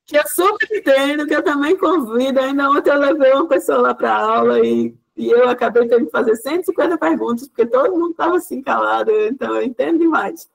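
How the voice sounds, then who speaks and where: A woman's voice: high voice, moderate pace, gravelly, low-quality recording. A woman giving an informal, chatty talk to a class as a guest lecturer, often using small conversational fillers. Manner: chatty, loose, leans on fillers like "né".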